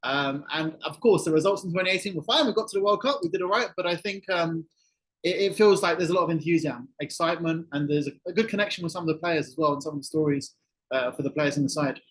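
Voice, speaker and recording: English-born football journalist, middle-aged, slightly high voice, easygoing podcast guest. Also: male voice, quick pace, poor audio. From England. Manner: relaxed, thoughtful, restarts phrases. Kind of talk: podcast interview answers. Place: Colombia, remote call.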